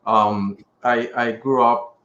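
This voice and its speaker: A man's voice: medium pitch, fairly even intonation, slow, bright, forceful tone. A middle-aged man with a Puerto Rican accent talking casually as an interview guest. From Puerto Rico. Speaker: podcast guest, pharmaceutical executive. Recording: roomy echo, slightly dull sound.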